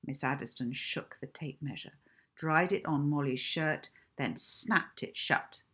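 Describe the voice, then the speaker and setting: Low, husky woman reading a story. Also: unhurried, a bit bright. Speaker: author reading own book. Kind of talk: children's audiobook narration. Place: small indoor room at home.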